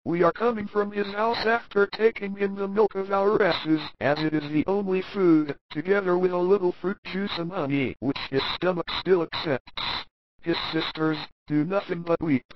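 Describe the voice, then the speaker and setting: A man's voice: high voice, steady tone, normal speed, a little hiss or hum, voice pushed up, natural voice. A man doing an anxious humble villager. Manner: earnest, softly pleading. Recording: dull lo-fi recording.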